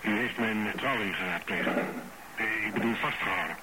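A man's voice: on the low side, normal speed, natural voice. An actor playing an uneasy, insecure middle-aged businessman husband, hesitant and earnest, in a radio drama. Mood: anxious, pleading. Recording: very noisy radio drama recording.

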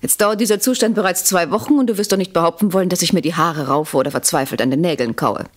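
An actress playing a proud, calculating unfaithful wife in a German radio drama, articulate and insistent, with a standard German accent. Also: female voice, fairly low pitch, fast, close to an everyday voice. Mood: cool, taunting.

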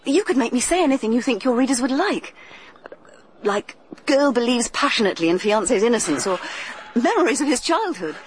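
An actress playing a bold, shrewd young woman in a radio drama, talking briskly and persuasively with plenty of self-assurance. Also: fairly high pitch, lively intonation, close to an everyday voice. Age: young adult. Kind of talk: 1980s radio drama acting. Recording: radio play with faint hiss.

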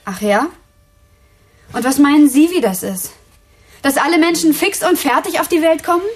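A woman's voice: high-pitched, normal speed, natural voice. An actress playing a young working-class woman in a radio drama, defensive and frightened, with coarse, hesitant colloquial speech. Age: young adult. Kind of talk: naturalistic radio drama acting.

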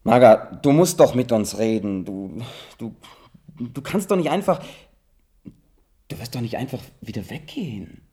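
Earnest pushy-but-soothing male friend in a radio play, husky, mellow, lowish. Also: expressive, a little slow. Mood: pleading, alarmed. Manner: insistent, persuading. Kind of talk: radio drama dialogue.

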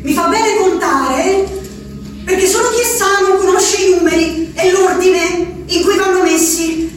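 A woman's voice: very high-pitched, unhurried, soft background music. An actress playing a restless peasant wife shut in an asylum, telling her story in an intimate, obsessive, repetitive way with an Abruzzo Italian accent, as a theatrical radio monologue. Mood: anxious, earnest. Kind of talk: theatrical radio drama monologue, reciting a letter. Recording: roomy, distant, noisy radio-theatre recording.

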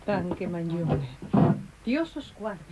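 A woman's voice: on the low side, lively intonation, slow pace, loud music behind the voice. An actress playing a forgetful old neighbourhood woman in a radio drama, slipping Spanish blessings into her speech and repeating herself. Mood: brooding, confused. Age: older. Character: old, squint-eyed, forgetful Spanish-speaking neighbourhood matriarch.

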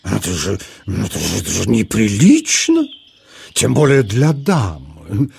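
Husky, fussy, pompous beetle. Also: male voice, on the low side, wildly swooping pitch, a little slow, darkish tone, heavily acted. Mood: stiff disapproval. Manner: blustering, mannered, hesitant interjections. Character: fussy, pompous male beetle, gallant but boastful. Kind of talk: children's audio play acting.

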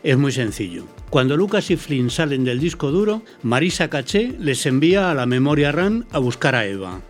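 Explanatory male presenter from Spain, medium pitch. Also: sing-song, moderate pace, faint music behind. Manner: clear explanatory. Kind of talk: scripted educational dialogue.

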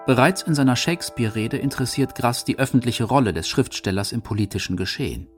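A man's voice: mid-range voice, fast talker. A man reading scripted narration for a radio feature. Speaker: documentary narrator. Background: music.

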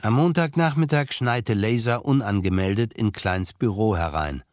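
A man narrating a crime audio drama in a measured, matter-of-fact manner. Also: fairly low pitch, a little mellow, no room sound, studio quality, faint music behind, close to an everyday voice. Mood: dry, measured.

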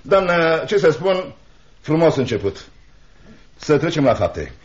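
An actor playing a cold, miserly older uncle in a Romanian radio play, sarcastic and dismissive, muttering repetitions. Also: male voice, on the high side, sing-song. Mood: brusque, contemptuous. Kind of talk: Romanian radio theatre acting. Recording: dull, band-limited old radio drama recording.

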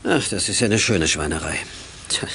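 Wry, lively, low-voiced grown-up helper. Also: male voice, normal speed, vocal fry, slight background noise, heavily acted. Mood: wry, resolute. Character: wry, helpful grown man aiding young detectives. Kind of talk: 1980s German youth radio play acting.

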